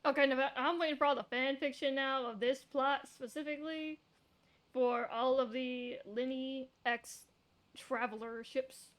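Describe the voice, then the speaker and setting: High-voiced woman streamer, blunt and sweary. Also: fairly even intonation, unhurried, a bit bright. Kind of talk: casual live game commentary. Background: faint game music.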